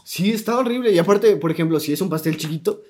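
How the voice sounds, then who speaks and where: A man's voice: high-pitched, expressive, fast, darkish tone. A young man with a Mexican accent co-hosting a casual chat, telling stories with slangy animation. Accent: Mexican Spanish. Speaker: podcast co-host.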